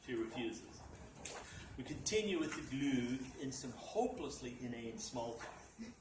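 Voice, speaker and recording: A man reading a comic short story aloud at a live reading, with theatrical, deliberate emphasis. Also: mid-range voice, animated. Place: library function room at a live reading. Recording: echoey room, distant mic, noisy.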